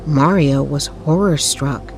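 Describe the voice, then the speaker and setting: A woman reading a bedtime story as narrator, in a soothing, measured, literary delivery. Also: deep voice, faint music behind.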